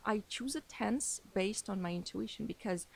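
Chatty young woman with a gravelly edge. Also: medium pitch, moderate pace, darkish tone. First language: Armenian. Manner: chatty, laughs often, many fillers and restarts. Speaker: podcast guest, English teacher. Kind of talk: casual interview chat.

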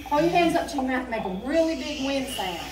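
A woman's voice: sits high, lively intonation, fairly quick. A woman telling a Bible story to children in simple, repeated phrases, coaching them to respond, recorded from across a church sanctuary. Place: church sanctuary stage, indoors. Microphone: recorded by a distant audience camera. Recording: distant, echoey church hall, noisy room. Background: children and room noise.

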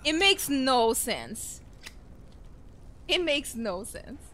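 A woman's voice: high voice, very animated, extremely slow, slight background noise. Giggly woman reacting to a game. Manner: giggly, joking reactions to the scenes. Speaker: streamer. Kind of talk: casual let's play commentary. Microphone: headset. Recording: game music loud behind.

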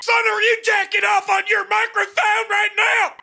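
A man putting on an irate, foul-mouthed drill sergeant who yells insults and complaints in online game voice chat. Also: extremely high pitch, flat delivery, normal speed, vocal fry, heavy background noise. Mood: furious. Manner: yelling, insulting, indignant.

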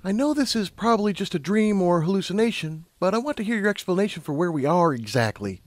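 A man's voice: high voice, sing-song, fast talker, dry recording, voice pushed up. A man voicing an anxious, sceptical young female unicorn pony with a hesitant, questioning, earnest delivery.

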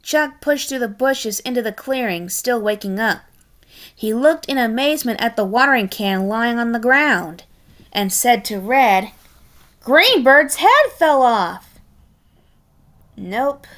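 Woman, children's storybook read-aloud, high bright voice, expressive, chatty asides. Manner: expressive, deliberate, adds playful commentary. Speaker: storybook reader.

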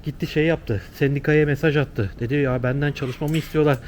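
A man's voice: a little high, fairly even intonation, fairly quick, a little mellow. A man chatting casually and explaining things to a companion as a travel vlogger. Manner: explanatory, conversational. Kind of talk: casual on-location chat with companion. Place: outdoors on city streets. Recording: noisy outdoor city street, traffic.

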